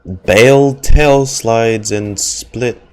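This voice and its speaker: A man's voice: medium pitch, very slow, a little mellow, a little hiss or hum. A man giving casual live reactions as a streamer playing a story-driven game.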